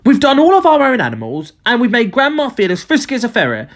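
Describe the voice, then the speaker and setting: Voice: medium pitch, huge pitch swings, quick pace, voice pushed up. A narrator voicing an earnest, questioning young boy in a storybook. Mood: puzzled.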